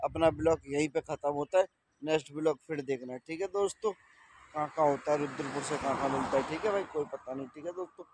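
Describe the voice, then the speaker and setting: A man's voice: on the high side, quick pace, a little mellow. A man in his thirties, a truck driver, chatting casually to viewers through a phone camera held at arm's length while on the road. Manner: chatty, keeps saying "brother" and "friends" to viewers. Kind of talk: casual travel vlog narration. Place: outdoors by trucks on roads and highway stops. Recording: noisy outdoor phone recording.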